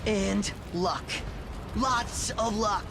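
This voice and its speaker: An actor playing a carefree young mutant turtle who cracks jokes in a playful way. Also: male voice, high-pitched, extremely slow, gravelly, noisy background, full character voice. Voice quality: jokey, playful. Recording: game audio under loud music.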